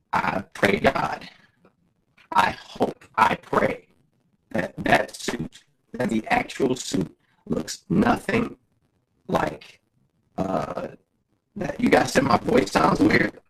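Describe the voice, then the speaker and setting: Laid-back guy on a live stream, slow unhurried talk, keeps repeating himself to chat. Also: mid-range voice, huge pitch swings, creaky, a bit bright, low-quality recording. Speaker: livestream host. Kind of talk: casual livestream chat with viewers.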